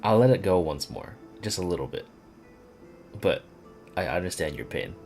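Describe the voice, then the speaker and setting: Casting: male game streamer, very deep voice, casual reactive commentary, light music in the background. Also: expressive, normal speed, darkish tone.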